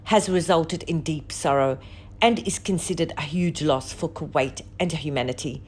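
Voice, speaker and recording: A woman reporter reading a scripted news report in a formal, measured and emphatic manner. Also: low voice, darkish tone, slightly rough.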